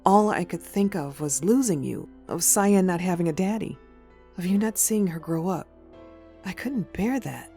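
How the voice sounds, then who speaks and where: A woman acts a frightened, devoted wife in a comic book audio drama. Her voice is soft, mellow and creaky, with faint music underneath. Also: lowish voice, animated, normal speed. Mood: tender fear.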